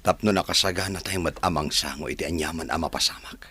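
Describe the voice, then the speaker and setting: A man playing a grave, consoling man in a radio drama, with a husky voice. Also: very deep voice, fast, sharp tone, dry recording, exaggerated voice. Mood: solemn. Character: grave middle-aged man, Marshal.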